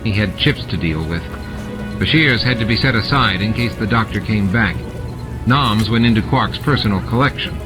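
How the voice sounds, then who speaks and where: A man's voice: on the low side, moderate pace. A man reading a novel aloud as scripted, dramatic audiobook narration. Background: music and sound effects added to the reading.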